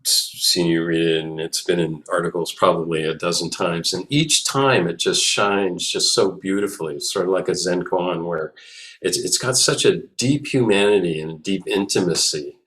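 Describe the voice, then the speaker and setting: A man hosting a conversation, responding reflectively in halting phrases with repeated words. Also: deep voice, a bit bright. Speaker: host of the conversation.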